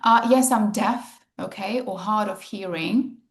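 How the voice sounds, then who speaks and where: A woman's voice: mid-range voice, unhurried, echoey room. A woman English teacher leading an online speaking club, chatty and full of fillers, on a video call. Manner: chatty, many fillers like "you know" and "okay". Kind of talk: casual online group lesson. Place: home in Valencia.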